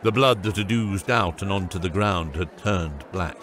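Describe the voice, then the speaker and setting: A man's voice: deep voice, normal speed, fairly bright tone, a little hiss or hum. A man narrating a scripted fantasy audiobook.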